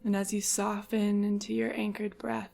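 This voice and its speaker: Soft, even-toned woman guiding meditation over ambience. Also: medium pitch. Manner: soft, breathy phrase endings, soothing unhurried delivery. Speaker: yoga nidra meditation guide. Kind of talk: guided meditation narration.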